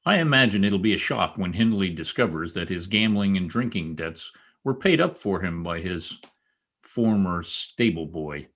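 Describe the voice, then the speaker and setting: Man acting brooding, sardonic man with swooping low voice. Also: lively intonation, normal speed, fairly bright tone, exaggerated voice. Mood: sardonic, bitter. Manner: sardonic, commanding. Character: brooding, bitter, newly rich former stable boy, adult man. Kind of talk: old-time radio drama acting.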